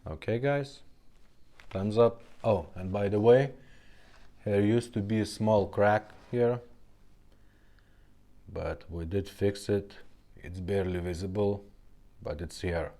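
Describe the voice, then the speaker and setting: Slow-spoken, low-voiced man demonstrating gear. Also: mellow. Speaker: vintage car radio repairer presenting a demo. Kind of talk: casual product demonstration.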